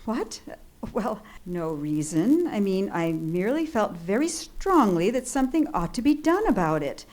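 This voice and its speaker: An actress playing an anxious, imperious bedridden married woman in a radio suspense play, flustered, halting and indignant. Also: mid-range voice, very animated, a little mellow, natural voice. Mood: indignant, alarmed. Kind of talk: old-time radio suspense play acting. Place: live theatre stage.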